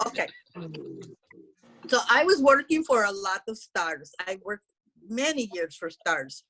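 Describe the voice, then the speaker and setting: A woman's voice: mid-range voice, big pitch swings, a little slow, bright, forceful tone, heavily acted, rough recording. A woman voicing a brash, gossipy middle-aged housekeeper, talking bluntly in broken English. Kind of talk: character stand-up comedy.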